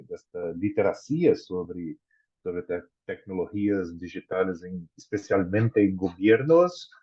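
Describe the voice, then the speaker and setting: Slow low-voiced professor with roots. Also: male voice. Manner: slow, measured, searching for Spanish words. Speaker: course professor. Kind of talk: online class, giving feedback. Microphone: video call. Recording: dull video call audio.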